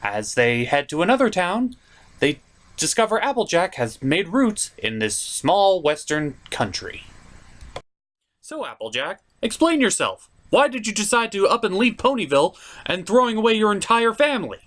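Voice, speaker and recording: A man hosting a comedic cartoon episode review, sarcastic and swearing freely, jumping between shouts and mutters. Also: high-pitched, big pitch swings, moderate pace. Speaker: host.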